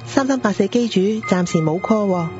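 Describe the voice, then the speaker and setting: A woman's voice: medium pitch, lively intonation, a little fast, a little mellow, natural voice. A woman with a Hong Kong accent playing a polite night-shift pager operator and storyteller in a radio drama. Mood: polite, businesslike. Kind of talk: scripted radio drama narration. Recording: dull lo-fi audio under music.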